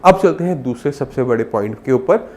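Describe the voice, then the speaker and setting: Casting: male doctor-presenter, mid-pitched voice thickened by a cold, instructive delivery, some noise behind. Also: animated, a little fast, darkish tone. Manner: instructive, addresses viewer directly with simple examples. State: sore throat and a cold. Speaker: doctor presenting health advice. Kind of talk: explanatory health advice to camera.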